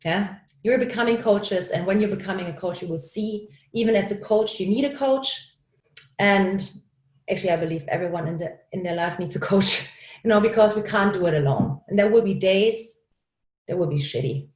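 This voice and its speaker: A woman's voice: mid-range voice, normal speed, darkish tone, roomy sound. A woman with a German accent giving an upbeat, encouraging coaching talk over a video call, laughing often as she speaks.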